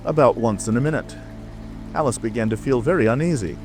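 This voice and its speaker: A man's voice: medium pitch, brisk, a little mellow. A man narrating a storybook as a dramatic, scripted audiobook reading. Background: music.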